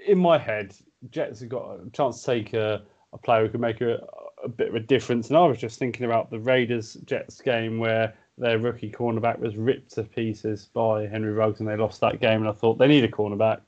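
Relaxed man who laughs often, dull sound. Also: fairly low pitch, a little fast. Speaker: podcast co-host. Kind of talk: casual chat.

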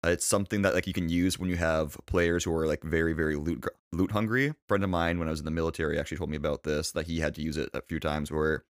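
A young man with a very deep voice co-hosts a casual podcast. He talks in a laid-back, dry way and pauses on fillers as he thinks. His voice sounds close and dry, with no room around it. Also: fairly even intonation, no room sound. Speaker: podcast co-host.

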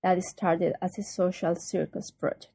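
Husky, mellow Colombian woman. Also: fairly low pitch, steady tone, normal speed, dry recording. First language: Spanish. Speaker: circus teacher and performer. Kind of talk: prepared personal narration.

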